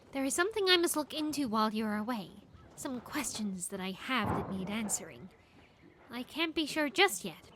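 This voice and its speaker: Give the part to a haughty, teasing little girl scientist who is really an old woman. The voice is high and bright, with very lively swings. It is an audio drama with faint noise behind it. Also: exaggerated voice. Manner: teasing, haughty, quick to boast. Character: impish elderly scientist woman in a young girl's body. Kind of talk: fan audio drama acting.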